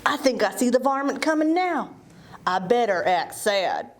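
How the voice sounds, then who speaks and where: A woman's voice: on the high side, big pitch swings, normal speed. A woman playing a grumpy, folksy animal schemer in a children's puppet show. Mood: mock sorrow. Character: slow-witted, grumpy, conspiring puppet animal, friend of a fox.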